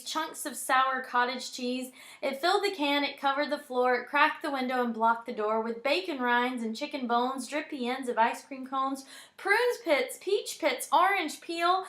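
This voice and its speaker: A woman with a fairly high, clearly bright voice talks and reads as if to a young child at bedtime. Her delivery is affectionate and personal, turning animated and sing-song for children's verse. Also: roomy sound. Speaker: storyteller reading children's poems. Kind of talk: personal bedtime video message and expressive poem reading for a child.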